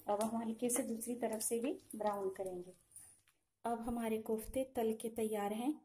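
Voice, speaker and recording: A woman calmly talking through a home cooking recipe step by step, in a patient, instructive way. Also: a little high, slight background noise. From Himachal Pradesh, India. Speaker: home cooking channel host. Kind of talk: step-by-step cooking demonstration voice-over. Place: home kitchen.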